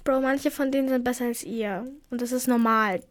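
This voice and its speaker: A woman's voice: on the high side, steady tone, normal speed. A teenage girl from Afghanistan, co-hosting a casual chat and telling stories in an earnest, indignant way. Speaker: co-host.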